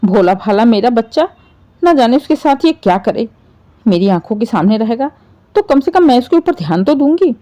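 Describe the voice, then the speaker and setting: Woman acting bitter, high-voiced scolding mother-in-law. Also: big pitch swings, moderate pace, dark tone. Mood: anxious, suspicious. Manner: shrill indignant complaining, sarcastic. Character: bitter scolding middle-aged mother-in-law, dramatic and possessive.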